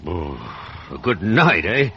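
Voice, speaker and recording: An actor playing a menacing, wretched escaped convict in a radio drama, with rough, threatening speech. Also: male voice, sits low, huge pitch swings, drawn-out words, heavily acted. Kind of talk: 1950s radio drama acting. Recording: muffled, noisy vintage radio recording.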